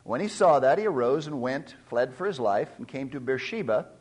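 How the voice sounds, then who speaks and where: A middle-aged man preaches to his congregation, reading verses aloud and stopping to explain their old-fashioned words. When a verse matters, his voice leaps upward and grows louder. His voice sits at an ordinary male pitch. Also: expressive, normal speed, slightly rough. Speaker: pastor. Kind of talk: sermon.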